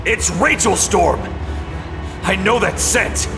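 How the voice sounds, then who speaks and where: A man playing a gruff, hot-headed superhero brawler with a gravelly voice in an action audio drama. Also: high-pitched, slow, over music, exaggerated voice. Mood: stunned.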